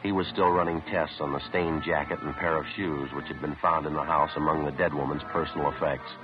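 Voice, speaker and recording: An actor playing a terse, methodical police detective in a 1950s radio drama, matter-of-fact and understated in both dialogue and narration. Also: male voice, very deep voice, steady tone, fairly quick, over music. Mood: dry, official. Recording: noisy, dull vintage 1950s radio recording.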